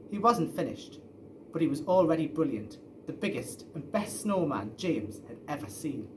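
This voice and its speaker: A man's voice: on the high side, background noise. A man reading a children's story aloud expressively, as a storyteller. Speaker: story reader.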